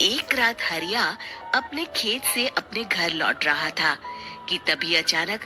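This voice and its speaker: Unhurried, piercingly bright woman storyteller. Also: lowish voice, soft background music. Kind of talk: dramatic scripted story narration.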